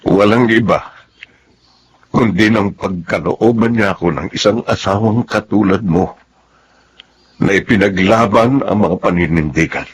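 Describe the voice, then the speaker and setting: Low-voiced ailing old Filipino father. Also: a little slow, long pauses, breathy edge. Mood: tender, grateful. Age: older. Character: ailing, affectionate old father grateful to his family. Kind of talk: Filipino radio drama acting. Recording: faint background noise.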